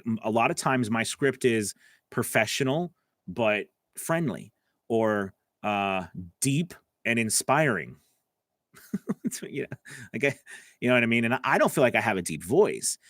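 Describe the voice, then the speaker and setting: A man's voice: mid-range voice, lively intonation, moderate pace, a bit bright, no echo. A man coaching voice actors on a live stream, answering viewer comments in a casual, chatty way from his home studio. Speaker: voice-over coach.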